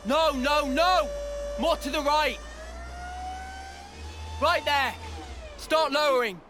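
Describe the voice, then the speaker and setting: A man voicing a small, bossy, excitable mechanic who barks orders and exclaims in a video game. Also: extremely high pitch, slow pace, noisy background. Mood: bossy, urgent. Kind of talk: scripted video game voice acting.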